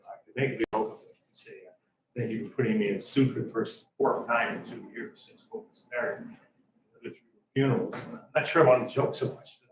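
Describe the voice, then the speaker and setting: An older man, a lawyer, rambles through his comment, halting and restarting. His voice is mid-pitched. It is heard from a distance in an echoing council chamber, with a faint hiss under it. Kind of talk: unscripted public comment.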